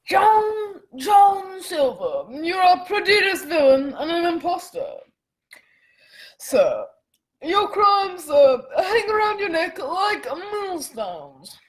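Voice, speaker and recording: A woman playing a stern, indignant gentleman in a radio play, pompous and declamatory. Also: very high-pitched, very animated, slow pace, heavily acted, low-quality recording. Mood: righteous outrage.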